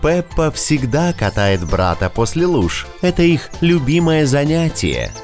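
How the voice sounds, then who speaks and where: A woman narrating a scripted children's story as an expressive storyteller. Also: deep voice, a little slow, faint music behind.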